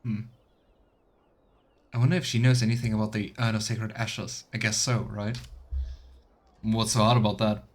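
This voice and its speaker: A man's voice: on the low side, flat delivery, normal speed, pauses often. Man narrating a video game playthrough. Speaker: game streamer. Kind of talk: let's play, reading game quest text aloud with casual asides.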